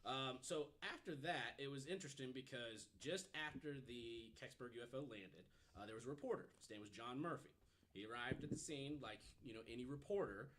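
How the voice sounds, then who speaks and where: A man's voice: mid-range voice, brisk, a bit bright, slight background noise. A man on a podcast panel telling a story casually, hedging often with "you know" as he explains.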